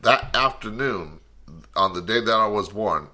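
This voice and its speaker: A man's voice: fairly low pitch, animated, a little slow, sharp tone. A man in his forties hosting a podcast, chatting casually in an easygoing, rambling way. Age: 40s. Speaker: podcast host. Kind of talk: casual interview chat.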